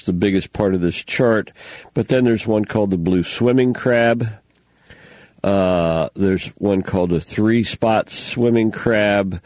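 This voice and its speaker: A man's voice: fairly low pitch, a little mellow, dry recording. An older man hosting a local radio show, talking casually in a folksy, rambling way with lots of fillers, sounding stuffy. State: stuffy nose. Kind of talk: casual on-air talk, reading news items.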